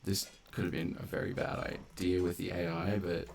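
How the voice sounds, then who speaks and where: A man giving casual gameplay commentary, muttering asides and exclaiming as he reacts out loud. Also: low voice, flat delivery, moderate pace, creaky, slight background noise. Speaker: gamer narrating a playthrough.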